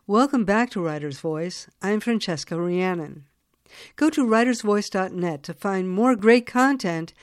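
A woman radio show host reading a scripted introduction in a measured, formal way, then asking interview questions. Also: mid-range voice, clean tone, no echo, clean studio sound.

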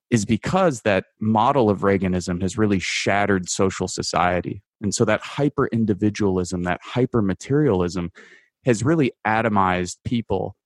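A man in his thirties from the United States, a guest writer giving measured, analytical commentary in a radio interview, with frequent fillers and restarts. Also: deep voice, dry recording. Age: 30s.

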